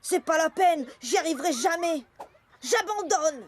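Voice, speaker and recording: A woman playing a young, easily discouraged boy footballer in an animated cartoon. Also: extremely high pitch, measured pace, a little hiss or hum. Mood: dejected. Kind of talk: animated cartoon dub acting.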